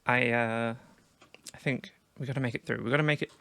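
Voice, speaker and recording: A man going hoarse from a sore throat, giving casual commentary on his team draft and thinking aloud with many restarts. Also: mid-range voice, steady tone, fairly quick, pushed and bright. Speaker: gaming video creator.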